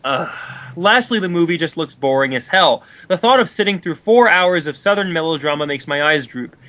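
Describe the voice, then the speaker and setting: A man's voice: a little high, expressive, normal speed, sharp tone. A man co-hosting a film commentary, sarcastic and exasperated as he reads and reacts casually. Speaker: co-host of a film commentary show.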